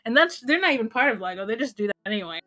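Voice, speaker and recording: A woman's voice: a little high, expressive, very fast, pushed and bright. A woman hosting a casual podcast, talking in a rambling, informal way with lots of "like". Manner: rambling, heavy use of "like". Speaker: podcast host. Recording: music behind the voice.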